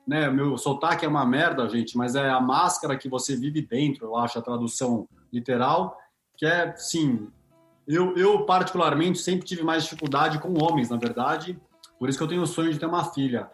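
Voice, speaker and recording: A man's voice: on the high side, normal speed, faint music behind. Open-hearted Brazilian man, roomy sound. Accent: Brazilian Portuguese. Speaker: podcast guest, speaker on masculinity. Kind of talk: casual podcast chat.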